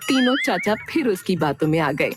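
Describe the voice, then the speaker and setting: A woman narrating a moral story for a cartoon in a lively storytelling manner. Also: lowish voice, normal speed. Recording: music playing behind.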